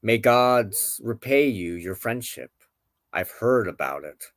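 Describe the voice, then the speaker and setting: A man's voice: fairly low pitch, lively intonation, slow, fairly bright tone, no echo, studio quality, exaggerated voice. A man reading as a courtly, gracious king. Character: king of an otherworld, grateful, gracious husband. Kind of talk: storybook character dialogue in narration.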